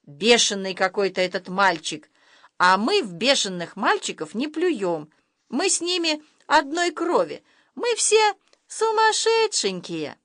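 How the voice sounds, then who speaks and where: A woman voicing a bunch of crazy talking cucumbers in a storybook, high and drawn-out. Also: very animated, fairly bright tone, no echo, clean studio sound. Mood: giddy.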